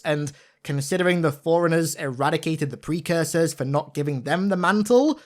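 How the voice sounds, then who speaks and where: Higher-voiced man doing game commentary. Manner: informal, gushing, emphatic. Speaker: video game content creator. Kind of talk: enthusiastic breakdown commentary.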